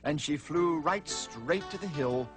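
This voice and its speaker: A man's voice: a little high, lively intonation, a little slow. A man narrating a rhyming children's story with theatrical, dramatic emphasis. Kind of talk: expressive children's read-along narration of rhyming verse. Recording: music behind. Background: music.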